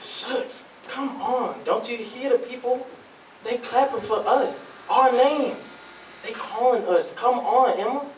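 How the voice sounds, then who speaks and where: A man's voice: very high voice, slow pace, slight background noise. A man acting an eager young sweetheart on stage, coaxing and insisting. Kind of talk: stage readers theatre acting. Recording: echoey room, distant mic.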